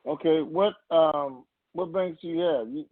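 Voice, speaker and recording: A man's voice: fairly high pitch, measured pace, no echo. A man hosting a call-in conference call, talking casually and off the cuff in a plain-spoken, folksy way. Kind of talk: casual unscripted phone talk with callers. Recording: muffled narrow phone line.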